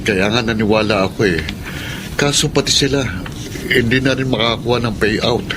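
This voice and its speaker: An actor playing an apologetic, hard-working husband in a radio drama, pleading and defensive. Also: male voice, medium pitch, normal speed, noisy background, close to an everyday voice. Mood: sheepish, defensive.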